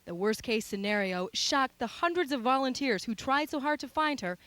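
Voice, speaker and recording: A woman's voice: high voice, animated, moderate pace, fairly bright tone, no echo. An American woman reporter reading scripted narration for a television news package.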